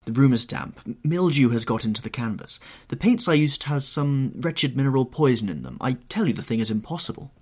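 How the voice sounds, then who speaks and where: A man's voice: medium pitch, lively intonation, a little fast, few pauses, a little mellow, pitched above the performer's own voice, close to an everyday voice. A man voicing a horrified, anguished painter who pleads and moralises in an agitated, exclamatory way, in an audiobook story. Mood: horrified, disbelieving.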